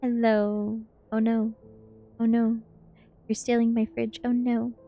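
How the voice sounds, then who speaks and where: A woman's voice: a little high, level tone, takes it slowly, phone-quality sound. A woman streamer reading a book ASMR style and chatting casually with viewers in a playful way. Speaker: VTuber streamer.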